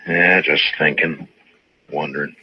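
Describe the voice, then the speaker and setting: A man's voice: rumbling low, steady tone, a little slow, highs cut off. An American man playing a stoic, stern frontier lawman in an old-time radio western, terse, dry and authoritative. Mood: firm, guarded. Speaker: lead actor in a radio western. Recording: noisy vintage radio drama recording.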